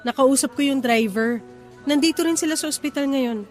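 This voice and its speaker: A steadfast, soothing wife and mother played by an actress in a radio drama. She speaks in a high, earnest voice, reassuring and firm, over faint music. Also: fairly quick, natural voice.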